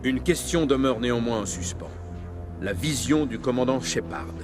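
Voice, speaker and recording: An actor playing a serious, resolute human military captain in a French video game. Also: male voice, mid-range voice, animated, normal speed, over music, close to an everyday voice. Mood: grave. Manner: serious, firm.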